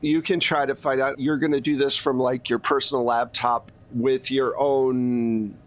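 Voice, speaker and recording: A man's voice: medium pitch, little pitch movement, unhurried. A man acting as game master, narrating a tabletop role-playing game in a conversational, teasing way.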